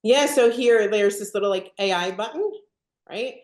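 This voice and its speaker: A woman presenting a software demo on a live video call, upbeat and often asking her audience questions. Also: mid-range voice, normal speed, reverberant. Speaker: product presenter. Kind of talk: live webinar product demo.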